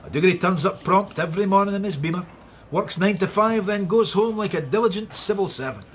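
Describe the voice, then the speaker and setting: An actor playing a sardonic, blunt Scottish police inspector in a radio drama. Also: male voice, high-pitched, a little fast, lightly acted. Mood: dry briefing. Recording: faint background noise.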